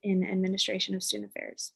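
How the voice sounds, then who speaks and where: A woman's voice: medium pitch, big pitch swings, quick pace, gravelly, slightly rough. A woman in her twenties from South Dakota, introducing herself as a panel guest in a matter-of-fact way. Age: 20s.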